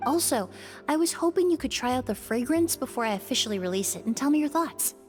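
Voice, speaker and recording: A woman voicing a composed, polite young perfumer in a video game, calm, precise and explanatory. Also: sits high, quick pace, over music. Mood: gentle, modest.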